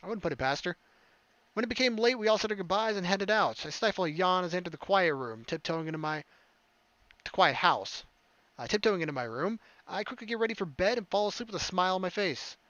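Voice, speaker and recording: A man's voice: high voice, animated, fast talker, fairly bright tone, dry recording, lo-fi sound. A man narrating a visual novel as a let's player, reading theatrically and adding casual asides. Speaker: game streamer reading aloud. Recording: game music behind voice.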